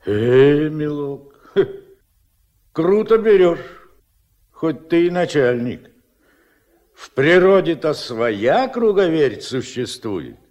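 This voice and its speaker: A man's voice: a little high, a little mellow. An actor playing a stubborn, plain-spoken village fishing foreman in a radio play, with blunt, folksy rustic speech. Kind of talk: Soviet radio play acting.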